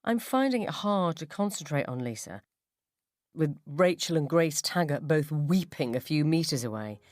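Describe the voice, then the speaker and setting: A woman narrating a first-person radio story in a wry, sardonic, expressive way. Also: sits low, normal speed, no room sound, studio quality. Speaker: short story reader.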